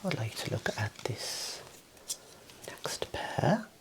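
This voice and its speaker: Slow whispering ASMR man. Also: mid-range voice. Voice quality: soft, breathy whisper. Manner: calm, gentle, softly encouraging. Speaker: ASMR host playing an eye examiner. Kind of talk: ASMR roleplay, gentle instructions. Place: small room indoors.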